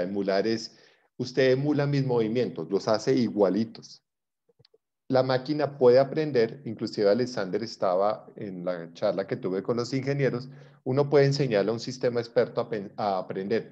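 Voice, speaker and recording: A man's voice: on the low side, moderate pace, dark tone, dull audio. A man teaching a class informally, explaining by rephrasing and giving examples. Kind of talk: informal spoken lesson to a class.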